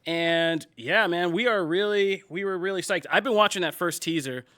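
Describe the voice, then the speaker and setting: A male podcast host with a bright, forceful voice and a clean, crisp tone. He talks energetically and casually, filling his sentences with "like" and "I mean" as he jokes around with his co-hosts. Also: sits high, normal speed. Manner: energetic, joking, lots of fillers like "like" and "I mean". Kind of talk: casual panel chat.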